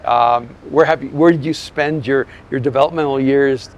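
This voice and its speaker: A man's voice: medium pitch, fairly even intonation, moderate pace. A man hosting an interview podcast outdoors on a city street, talking in an earnest, effusive way. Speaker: podcast host.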